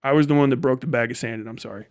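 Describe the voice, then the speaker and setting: An American man livestreaming a casual, profane, blunt and rambling rant in an animated manner. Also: medium pitch, racing, dry recording, studio quality. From United States. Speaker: livestream host. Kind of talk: casual ranting livestream commentary.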